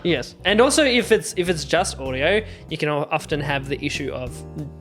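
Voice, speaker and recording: A man talking casually and a little haltingly as a player on a gaming podcast. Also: a little high, animated, fairly bright tone, faint music behind. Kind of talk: casual group banter.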